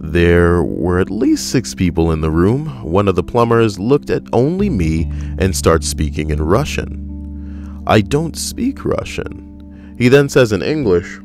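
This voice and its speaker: A man co-hosting a casual podcast, reading out strangers' stories with wry, deadpan, rambling asides. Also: low-pitched, a little slow, over music. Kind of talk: casual joking commentary.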